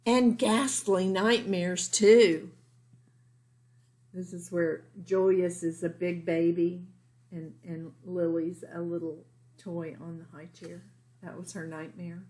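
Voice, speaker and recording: A woman's voice: on the low side, steady tone, slow pace, dark tone, a little hiss or hum. A woman teacher reading a children's storybook aloud expressively, explaining things simply for young listeners.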